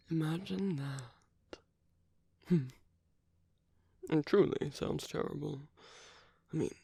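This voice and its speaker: An actor playing a suave, sly, seductive villain in a romantic ASMR audio roleplay, speaking softly with a theatrical, teasing manner. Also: mid-range voice, gravelly, slightly rough, dry recording, full character voice. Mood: feigned polite interest. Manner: slow, flirtatious, teasing, drifting into whispers. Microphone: close ASMR microphone.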